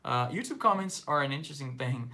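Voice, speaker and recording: A man's voice: mid-range voice, lively intonation, quick pace, pushed and bright. A Brazilian-born man with a slight Brazilian accent hosts a casual livestream, chatting loosely and reading viewer comments. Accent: slight Brazilian Portuguese. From northeastern Brazil. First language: Portuguese. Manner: rambling, filler-heavy, loose and conversational. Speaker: livestream host.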